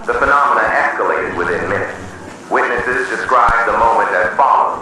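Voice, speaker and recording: A man reading a scripted horror emergency announcement in a solemn, measured, official manner. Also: mid-range voice, lively intonation, sharp tone. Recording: distant, heavily echoing, noisy emergency broadcast.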